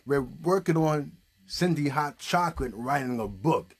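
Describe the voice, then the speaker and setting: A man's voice: mid-range voice, lively intonation, unhurried, slightly rough. A man hosting an improvised puppet show, prompting a story along with conversational questions. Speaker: puppeteer host.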